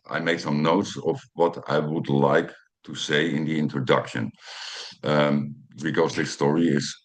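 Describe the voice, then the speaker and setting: A man in his fifties with a Dutch accent and an extremely low, deep voice. He talks English as a second language, slowly building a personal story with frequent ums and uhs as he checks his notes. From Netherlands. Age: 50s. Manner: hesitant, many ums and uhs, reads from notes. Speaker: channel host.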